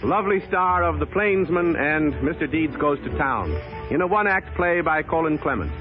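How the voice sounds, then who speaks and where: A man's voice: on the high side, expressive, normal speed. Male 1930s co-host, scripted announcing, raised register, dull vintage broadcast over strong music. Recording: muffled 1930s radio broadcast, music behind.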